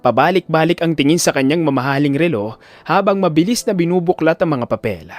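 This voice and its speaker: A man's voice: fairly high pitch, lively intonation, fairly quick, dry recording. Solemn man narrating a drama. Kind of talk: dramatic scripted story narration.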